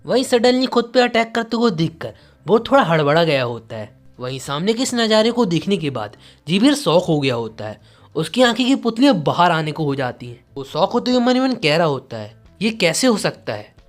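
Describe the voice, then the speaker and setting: A man delivers a dramatic scripted story recap. His voice sits a little high and moves with very lively intonation, over soft music. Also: fairly quick. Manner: animated storytelling, voicing quoted dialogue. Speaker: manga recap narrator. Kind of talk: dramatic scripted story narration in Hindi with some English words.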